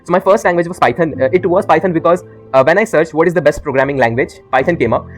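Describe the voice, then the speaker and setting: A teenage boy with an Indian English accent chats to camera at a rapid clip, his sentences tumbling out between uh's. He drifts between English and Hindi mid-thought in a loose, rambling way, with faint music playing under him. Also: fairly high pitch, low-quality recording. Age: teens. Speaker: YouTuber. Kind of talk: casual talk to camera.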